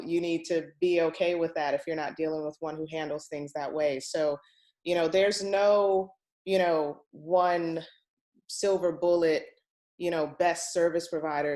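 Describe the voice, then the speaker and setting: A woman with a low-pitched voice hosts a conversational session. She lays out advice in a measured, explanatory way and moderates with questions. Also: darkish tone. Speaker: host of real estate session.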